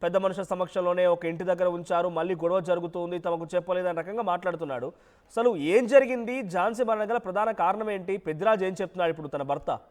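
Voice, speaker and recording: A man's voice: high-pitched, fast talker. A man presenting live news as an anchor, speaking emphatically and repeating his points and questions.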